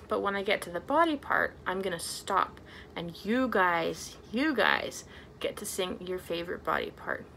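A woman's voice: mid-range voice, vocal fry, sharp tone, a little hiss or hum. A young adult woman presenting a storytime for small children as a librarian, speaking to them in simple, encouraging phrases with lots of questions.

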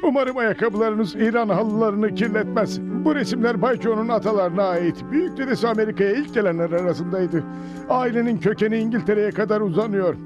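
A man's voice: sits high, brisk, lo-fi sound, natural voice. A man voicing a haughty, fussy elderly butler with formal, snooty manners in a Turkish cartoon dub. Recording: background music under the voice.

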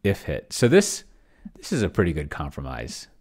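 A man's voice: a bass voice, huge pitch swings, moderate pace, a little mellow. A middle-aged man thinking aloud casually at his desk, often muttering and trailing off as he narrates what he is doing on screen. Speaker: host. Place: indoor home room at a desk.

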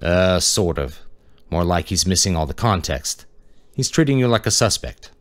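A man plays a huge detective with a very low, calm voice that leaves no room for argument. He speaks slowly and assuredly, in a dry, close recording. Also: dry recording. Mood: reassuring. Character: huge older male detective, deep and calm.

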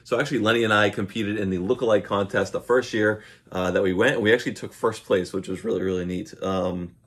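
A man's voice: lowish voice, fairly even intonation, fast talker. A man in his thirties talking casually to camera as a vlogger, easygoing and a little hesitant, recorded at home. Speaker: vlogger and dog owner. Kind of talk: casual unboxing chat to camera. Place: small room at home, indoors. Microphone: camera mic at arm's length.

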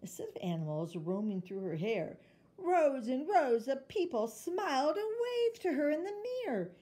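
Expressive woman reading a children's story, higher-pitched. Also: normal speed, husky. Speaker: storyteller reading a picture book aloud. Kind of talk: expressive children's read-aloud narration.

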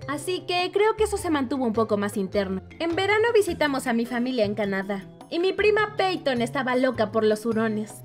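A woman voicing an excitable young animal-obsessed animator, telling childhood stories in an expressive, playful cartoon fandub narration. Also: high voice, fairly quick, few pauses, soft background music. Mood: upbeat nostalgic. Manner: expressive, playful, comically dramatic.

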